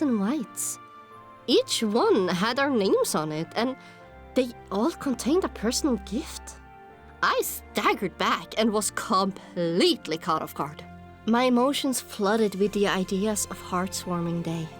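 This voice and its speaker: A woman narrating a dramatic first-person story in an expressive, emotive way, as an audiobook narrator. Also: on the high side. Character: young pony heroine, emotional and earnest. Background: music under the voice.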